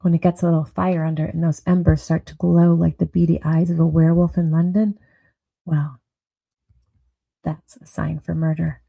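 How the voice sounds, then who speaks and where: Dark, husky woman narrating noir. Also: sits low, level tone, normal speed, no room sound, rough recording. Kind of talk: dramatic noir audio story narration.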